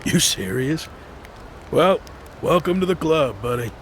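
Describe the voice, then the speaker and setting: An actor playing a friendly, teasing homeless man in a video game dub, with a gravelly American voice. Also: fairly high pitch, lively intonation, a little slow, slight background noise. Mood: jovial, teasing. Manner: teasing, folksy.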